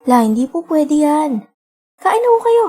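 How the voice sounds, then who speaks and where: A woman playing a gentle, caring teenage girl in a radio drama, earnest and politely respectful. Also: high-pitched, big pitch swings, unhurried. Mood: soothing. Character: gentle, caring, studious teenage twin sister. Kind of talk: dramatic radio play acting. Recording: music behind the voice.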